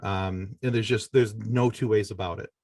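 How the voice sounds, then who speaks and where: A man's voice: fairly low pitch, steady tone, normal speed, a little mellow, no room sound, studio quality. A middle-aged American man from Michigan, a podcaster, giving earnest, rambling conversational answers as an interview guest. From Michigan, United States.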